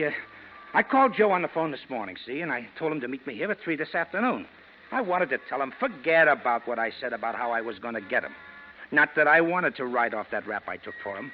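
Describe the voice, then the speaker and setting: Casting: male actor as jittery American ex-con, very animated pitch, slangy and pleading, vintage radio drama, noisy dull recording. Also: lightly acted. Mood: self-justifying. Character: nervous, fast-pleading male ex-convict, slangy small-time crook. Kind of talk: 1940s radio crime drama acting.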